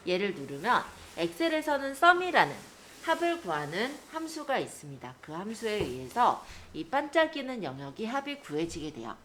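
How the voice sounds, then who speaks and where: A woman teacher giving a step-by-step software tutorial, explaining politely to learners. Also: mid-range voice, big pitch swings, normal speed, slight background noise.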